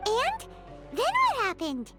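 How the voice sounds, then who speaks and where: A woman voicing a small, excitable, childlike fairy companion in a video game. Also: extremely high pitch, slow pace, full character voice. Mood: curious. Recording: game music playing behind.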